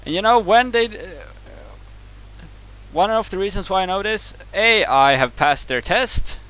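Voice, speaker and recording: A man with a Swedish accent and a somewhat high-pitched voice vents in a heated, profane rant. He stumbles and restarts words as his anger builds. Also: lively intonation, unhurried, bright, forceful tone, low-quality recording. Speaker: vlogger reacting to a video. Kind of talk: angry rant to camera.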